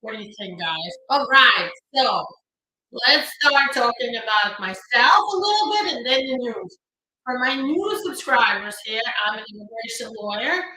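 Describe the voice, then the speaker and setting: A woman's voice: a little high, lively intonation, normal speed, sharp tone. A woman, an immigration lawyer chatting on a live stream and greeting viewers by name, with an upbeat manner and frequent fillers. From Ukraine. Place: home or office room in New York. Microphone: phone. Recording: echoey room on a phone.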